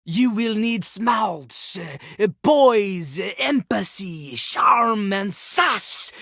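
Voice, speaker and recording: A woman voicing a cocky, theatrical male French rapping toilet in a video game, husky and pompous, with a French accent. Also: mid-range voice, expressive, extremely slow, a bit bright, no echo, clean studio sound, heavily acted. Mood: boastful, haughty. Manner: pompous, talks of himself in third person. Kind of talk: video game character acting with rapped verses.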